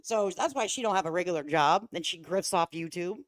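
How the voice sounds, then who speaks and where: A woman's voice: lowish voice, a little fast. A woman hosting a casual reaction livestream, commenting in a folksy, sarcastic, mocking way. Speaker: livestream host.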